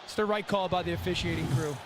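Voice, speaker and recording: A man talks through football analysis in a conversational way. His pitch is high for a man. The broadcast carries clear stadium noise behind him. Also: fairly even intonation, fast talker. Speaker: television colour analyst.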